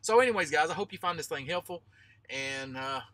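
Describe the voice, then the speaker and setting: A man's voice: fairly high pitch, animated, fairly quick, pushed and bright, no room sound. A man in his thirties talking informally to vlog viewers, explaining emphatically and repeating himself to drive points home. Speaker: trucker vlogger.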